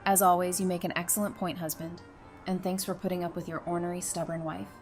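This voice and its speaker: A woman's voice: lowish voice, level tone, fast, faint music behind, natural voice. A woman playing a stubborn, affectionate young mother and wife in an audio drama, casual and wry. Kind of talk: fan audio drama acting.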